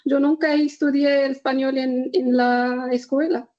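A woman from northern Morocco, answering interview questions in a halting way, pausing often to search for words. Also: sits high, little pitch movement, lo-fi sound. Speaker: guest.

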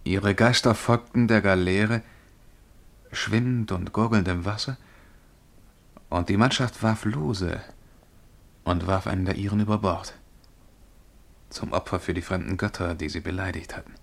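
Radio drama role: self-satisfied young male dreamer, lowish gravelly voice, earnest, easily offended. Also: a little slow, long pauses. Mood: trancelike, grave. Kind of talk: radio play acting.

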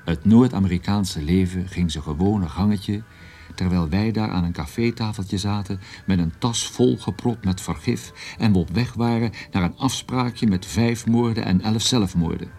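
A man's voice: fairly low pitch, moderate pace, warm tone, soft background music. An actor playing a wry private detective who narrates his own story in a radio drama, dry and ironic. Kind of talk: first-person radio drama narration, noir.

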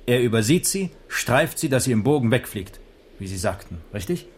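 A man's voice: medium pitch, very animated, normal speed, husky. An actor playing a sober traffic policeman in a radio crime drama, formal, precise and matter-of-fact. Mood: businesslike. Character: sober, methodical male traffic policeman taking a statement. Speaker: police officer taking a report. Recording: noisy 1980s radio play recording.

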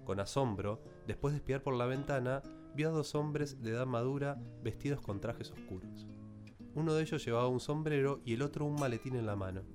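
A man's mid-pitched voice narrates a radio play. The delivery is scripted literary storytelling, with plucked guitar music playing clearly behind him. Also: a little fast.

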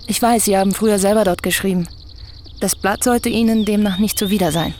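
Woman acting young newspaper writer, probing, persistent, radio play, middle pitch, faint crickets. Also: fairly quick, a little hiss or hum, natural voice. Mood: calm, probing. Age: young adult. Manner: persistent, calm questioning. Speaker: actor in a radio drama.

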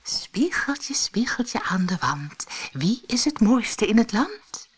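Cruel, vain stepmother queen for a fairy tale, imperious, high, widely varied melody; a woman acts it. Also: sing-song, slow, breathy edge, heavily acted. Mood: vain, whispering. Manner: imperious, incantatory, whispery at the mirror. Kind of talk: fairy tale audiobook character voice.

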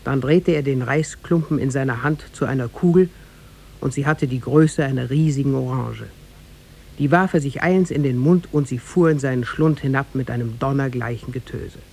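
A man narrating a tale in a scripted, measured and formal 1950s radio drama style. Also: mid-range voice, a little mellow, slightly rough. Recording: noisy 1950s radio recording.

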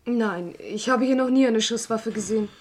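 A woman's voice: on the high side, normal speed, natural voice. Composed, frank woman witness played by an actress. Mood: subdued, composed. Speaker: actress in a crime radio play. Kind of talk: German crime radio drama acting.

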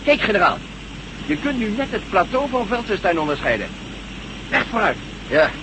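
A man's voice: high voice, sing-song, normal speed. A man acting a wry, ironic adventurer in a Dutch radio drama. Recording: noisy old radio drama recording with hum.

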